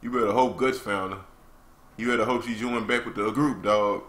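A young man giving casual, slangy, excitable live reaction commentary on anime as the host. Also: fairly low pitch, normal speed. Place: small room indoors. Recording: roomy, echoing home recording.

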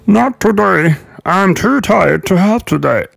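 A man voicing a lazy farmyard animal with a gravelly squawk and whiny manner in a children's cartoon. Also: sits high, animated, a little slow, fairly bright tone, faint music behind, exaggerated voice. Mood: lazy, dismissive. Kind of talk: children's cartoon story acting.

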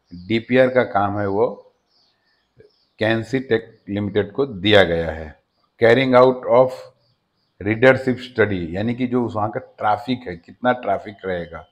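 A man hosting his own channel, giving a steady informational voice-over that often addresses viewers as friends. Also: on the low side, muffled recording. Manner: steady explanatory delivery with frequent "friends" address. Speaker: channel host. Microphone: voice-over over slides.

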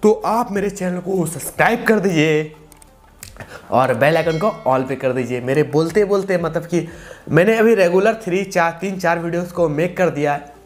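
A man's voice: a little high, expressive, normal speed, soft background music. A man, giving a casual, repetitive step-by-step tutorial. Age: 20s. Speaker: tutorial host. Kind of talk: casual video-editing tutorial.

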